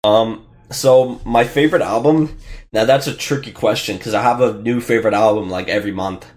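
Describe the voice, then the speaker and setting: A man's voice: lowish voice, brisk, darkish tone. A young adult man chatting casually as a host answering questions, in an informal, slangy and somewhat hesitant way. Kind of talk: casual chat.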